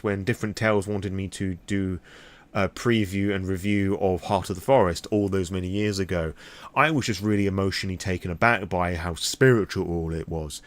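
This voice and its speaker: A man's voice: fairly low pitch, no room sound. A British man rambling candidly through an impromptu vlog, opinionated and freely swearing. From Britain. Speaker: vlogger.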